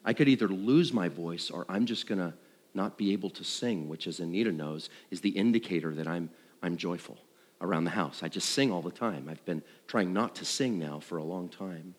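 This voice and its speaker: A man tells a personal testimony to a congregation in a conversational, self-deprecating way, acting out dialogue and thoughts like an animated storyteller. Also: low voice, normal speed. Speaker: worship leader. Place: large room, speaking to an audience. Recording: live hall with long reverb.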